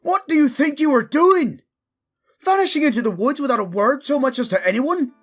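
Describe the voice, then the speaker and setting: A man playing a protective, devoted young commoner guarding royalty in a fantasy audio drama, gruff and intense. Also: very high-pitched, lively intonation, a little fast, a bit bright. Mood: angry, hurt. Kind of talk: fantasy audio roleplay acting.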